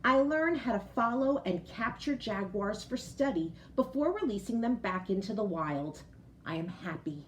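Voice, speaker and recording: A woman reading a picture book aloud to children like a teacher, expressive, pausing to explain and put questions directly to young listeners. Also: on the high side, slight background noise. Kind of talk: storybook read-aloud with explanatory asides.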